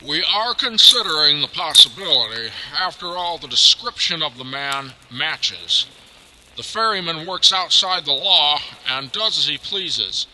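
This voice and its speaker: An actor playing a police commissioner giving a formal, deliberate public address in old-time radio style. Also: male voice, a little high, expressive, pushed and bright, exaggerated voice. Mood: official, firm. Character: brisk, official male police commissioner. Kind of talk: 1940s radio acting. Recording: clearly noisy background.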